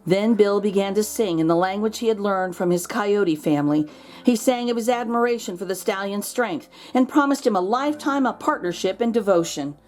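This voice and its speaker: A woman reading a children's picture book aloud as host, expressive, drawing out words for drama. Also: medium pitch, a little fast. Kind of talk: animated storybook read-aloud for children.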